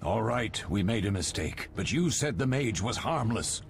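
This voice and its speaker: A man's voice: fairly low pitch, normal speed, gravelly, soft background music. An actor playing a firm, fatherly old warrior mentor in a fantasy video game, speaking with authority. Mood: firm, concerned. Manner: paternal, authoritative, expressive.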